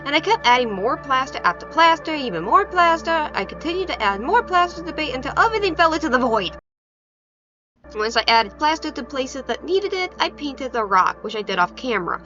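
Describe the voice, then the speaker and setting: A woman with a high voice gives an informal craft video voice-over. She is playful and self-deprecating, sometimes hesitant. The recording is a little muffled, with music playing behind her. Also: very animated, a little fast. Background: background music.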